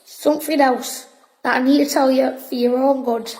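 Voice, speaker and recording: A woman's voice: high-pitched, steady tone, moderate pace, darkish tone, close to an everyday voice, poor audio. A woman playing a bossy, boastful wartime boy who tells spooky tales, in an audio drama. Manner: officious, storytelling.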